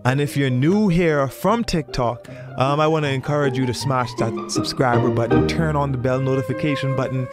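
A young man talks casually as an online video host, with a mid-pitched voice. He sounds playful and innocent, close on a bedroom mic, and music plays clearly behind him. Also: normal speed, few pauses. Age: young adult. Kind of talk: casual video chat and announcements to viewers. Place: small bedroom. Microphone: boom-arm studio mic and headphones.

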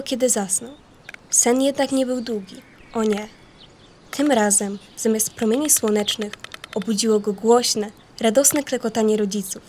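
A woman narrating a scripted children's story in a folksy, expressive storytelling manner. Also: fairly high pitch.

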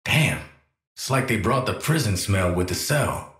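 A man's voice: fairly low pitch, lively intonation, measured pace, a bit bright, echoey room. An actor playing a tough, wry male assassin and protective father in a video game, with a sardonic, streetwise manner. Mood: irritated, sarcastic.

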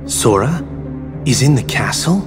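A blunt, suspicious hooded tracker, voiced by a man speaks in short, flat lines. Loud, tense music fills the game cutscene behind him. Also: mid-range voice, unhurried, lightly acted. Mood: curt. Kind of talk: video game cutscene dialogue.

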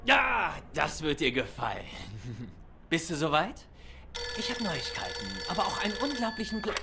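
An actor playing an excitable, cheeky weasel sidekick in a noir game, boisterous and gossipy. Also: male voice, fairly high pitch, normal speed, vocal fry, noisy background. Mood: excited. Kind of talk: cinematic noir game acting.

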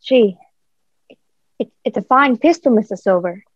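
Earnest young lad, played by a woman: high, eager, polite, dry and slightly muffled radio-play sound. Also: lively intonation, moderate pace, husky, dry recording. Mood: awed, eager.

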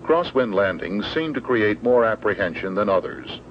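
Male instructional narrator, American, low voice, matter-of-fact and measured, 1970s film sound with clear background noise. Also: sing-song. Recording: dull, noisy old 16mm film soundtrack.

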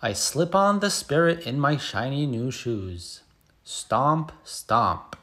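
Man reading as an excited schoolgirl, slow and expressive. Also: medium pitch, exaggerated voice. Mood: playful, emphatic. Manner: expressive, emphatic sound-effect words. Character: excited little schoolgirl telling her first day. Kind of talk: picture book read-aloud.

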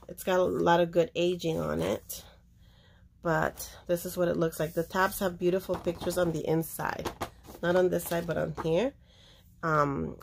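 A woman's voice: fairly low pitch, vocal fry, slight background noise. A woman casually showing old books for sale, chatting and rambling as she flips through pages. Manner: chatty, rambling, trails off mid-sentence. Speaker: seller showing books for auction. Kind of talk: casual show-and-tell. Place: indoors at a table of books.

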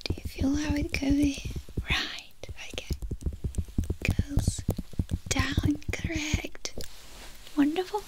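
Young woman whispering slowly in ASMR. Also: high-pitched, fairly even intonation, poor audio. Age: young adult. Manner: soft, close-up whispering with short, gentle instructions. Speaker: ASMR performer playing a doctor. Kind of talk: whispered ASMR medical exam roleplay. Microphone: close ASMR microphones.

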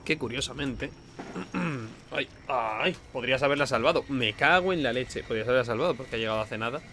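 A man's voice: medium pitch, brisk, sharp tone, slight background noise. A man with an accent from Spain gives casual gameplay commentary, informal and sweary, often muttering to himself. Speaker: let's play host.

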